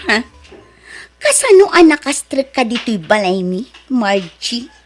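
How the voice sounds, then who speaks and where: A woman's voice: a little high, very animated, a little slow, soft background music. A middle-aged woman with an Ilocano accent acting a proud, scornful rich mother in an audio drama. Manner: haughty, scornful, dismissive.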